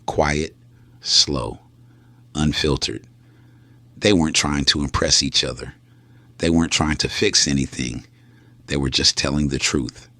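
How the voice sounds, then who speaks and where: A man narrating a late-night romance story in a slow, hushed, intimate way. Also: extremely low pitch, steady tone, gravelly, no echo. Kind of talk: scripted storytelling.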